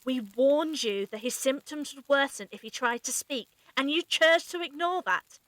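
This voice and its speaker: Woman playing stern, high-voiced clinical medic. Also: moderate pace, a bit bright, dry recording, poor audio. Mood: stern, cold. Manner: formal, precise wording.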